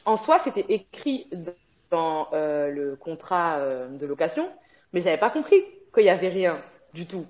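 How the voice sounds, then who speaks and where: Woman guest from Guadeloupe, slightly low voice, chatty and hesitant, lo-fi recording. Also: very animated, a little slow. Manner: chatty, frequent hesitations and laughter. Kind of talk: casual conversational interview. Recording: dull lo-fi sound.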